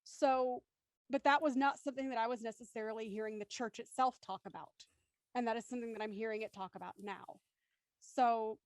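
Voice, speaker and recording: A woman's voice: on the high side, no echo. A woman speaking as a panelist in a discussion, articulate and reflective, setting out considered thoughts.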